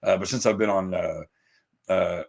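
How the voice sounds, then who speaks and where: A man's voice: low-pitched, fairly even intonation, normal speed. A man talking casually as a podcast guest, rambling and swearing, with many stammered restarts. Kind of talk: casual chat.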